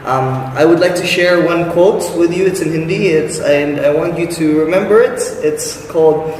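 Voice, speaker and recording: A young man from the Philippines, raised in New Zealand, speaks with cheerful earnestness and stops often for an "um." His voice is on the higher side and bounces around a very reverberant hall. Faint background noise runs throughout. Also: steady tone, moderate pace, a little mellow. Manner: earnest and upbeat, with hesitant fillers. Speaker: Capuchin brother. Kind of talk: casual self-introduction.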